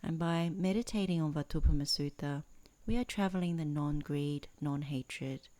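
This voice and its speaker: A woman teaching in a guided meditation, speaking in measured, earnest phrases with pauses between them. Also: low-pitched, dark tone. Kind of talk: guided meditation introduction, Dhamma talk.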